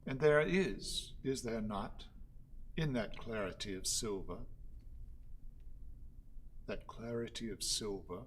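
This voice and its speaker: A man acting a solemn, pedantic orator in a radio play, declaiming ornate lines in a measured, halting, rhetorical way. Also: on the low side, breathy edge. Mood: solemn, declamatory. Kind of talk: theatrical radio play monologue.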